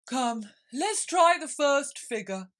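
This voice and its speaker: A woman voicing a sorrowful but excitable male turtle creature in a storybook, solemn and wistful, given to excited exclamations. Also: sits high, slow pace, pitched above the performer's own voice, full character voice. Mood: eager.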